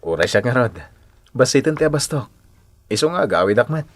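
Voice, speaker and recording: A man's voice: mid-range voice, big pitch swings, a little fast, no echo. An actor with an Ilocano Filipino accent playing a bashful young man secretly in love, in radio drama style. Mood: friendly, bashful.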